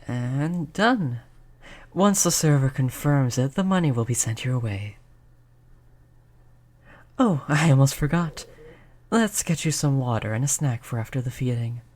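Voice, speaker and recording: A performer voicing a polite vampire who looks like a child, gentle, reassuring and chatty in an audio roleplay. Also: medium pitch, lively intonation, unhurried, pauses often. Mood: attentive, reassuring. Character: polite vampire turned young, stuck looking like a child. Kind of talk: audio roleplay spoken to the listener.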